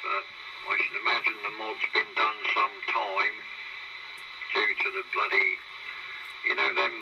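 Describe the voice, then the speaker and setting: British bloke nattering on a cb radio, loads of hiss and crackle, fairly low voice, rambly and matey. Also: male voice, steady tone, a little slow, creaky, lo-fi sound. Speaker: CB radio hobbyist. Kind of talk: casual chat over CB radio. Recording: noisy, staticky CB radio transmission.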